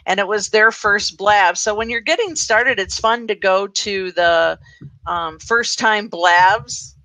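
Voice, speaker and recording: A woman's voice: medium pitch, slow, sharp tone, no room sound, poor audio. A woman hosting a casual live video chat, talking off the cuff in a chatty, welcoming way. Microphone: live group video call.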